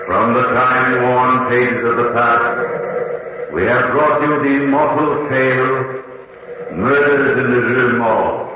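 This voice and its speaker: An actor playing a solemn, ominous announcer in old radio horror, with grandiose, drawn-out delivery. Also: male voice, mid-range voice, fairly even intonation, natural voice. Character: solemn, ominous male announcer of timeless tales. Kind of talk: 1940s radio horror narration. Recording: noisy, dull old radio recording.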